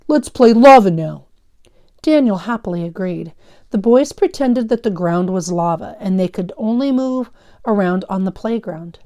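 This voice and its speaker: A woman's voice: mid-range voice, expressive, normal speed, mellow. A woman teaching fifth grade, explaining instructively and reading a story aloud to pupils.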